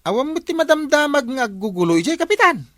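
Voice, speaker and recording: A man's voice: extremely high pitch, big pitch swings, quick pace, fairly bright tone, no room sound, heavily acted. Casting: man for crafty Filipino village watchman, Ilocano accent, dry studio sound, comedy drama delivery. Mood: inquisitive.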